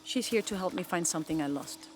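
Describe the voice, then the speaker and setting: An actress playing a composed, guarded local guide in a video game. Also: female voice, lowish voice, fast talker, natural voice.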